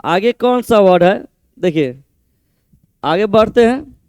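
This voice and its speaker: A male teacher, explaining idioms for an exam and repeating each meaning slowly as he writes. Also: high voice, expressive, a little mellow, clear, resonant tone, no echo, clean studio sound. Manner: mixes Hindi explanation with English example sentences. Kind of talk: exam-prep idiom lesson, writing on a board.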